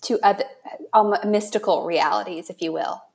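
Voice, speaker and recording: Woman podcast host, halting and effusive. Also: mid-range voice, vocal fry. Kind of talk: conversational book interview.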